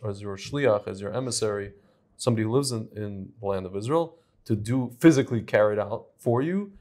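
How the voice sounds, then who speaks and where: A young man, a wine importer guest, calmly and patiently explaining in a casual studio chat on a lapel mic. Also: on the low side. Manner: calm, explains patiently with small restarts. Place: studio set with vineyard backdrop.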